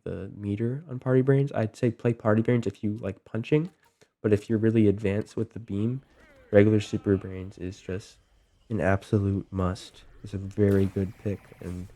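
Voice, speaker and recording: Young male gaming host with a cold, low mellow voice, laid-back commentary full of filler words. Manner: relaxed, many ums and likes. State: getting over a cold, voice a little off. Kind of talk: casual gameplay commentary.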